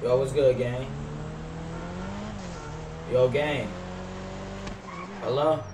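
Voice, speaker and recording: A man's voice: medium pitch, fairly even intonation, drawn-out words. Young American man streaming, roomy noisy mic. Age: young adult. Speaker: game streamer. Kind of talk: casual in-game role-play chat with slang. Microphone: headset. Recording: roomy, distant mic with loud game noise.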